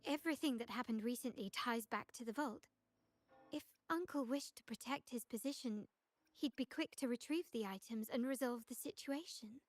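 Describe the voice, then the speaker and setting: An actress playing a composed, calculating young woman investigating a theft, speaking in measured, formal sentences. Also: a little high, no room sound, natural voice. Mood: calm, analytical. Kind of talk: video game dialogue. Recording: game music underneath.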